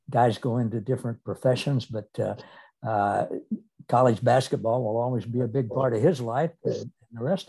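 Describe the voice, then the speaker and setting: An older American man hosting a casual interview, speaking haltingly and appreciatively into a desk microphone. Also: mid-range voice, vocal fry, warm tone, breathy edge, poor audio. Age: 60s or older. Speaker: interview host. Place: small indoor room on a video call. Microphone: desk microphone with headset.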